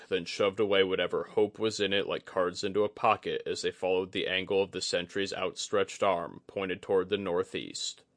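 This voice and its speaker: A man with a fairly low voice narrates fantasy fiction. It is a scripted audiobook read. The sound is dry, with very little room in it. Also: a bit bright, no echo.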